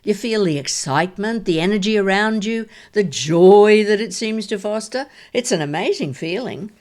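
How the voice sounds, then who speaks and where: An actress playing a wry, shrewd elderly mother and grandmother in an audio drama, speaking in a measured, reflective way. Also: medium pitch, lively intonation, darkish tone, natural voice. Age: older.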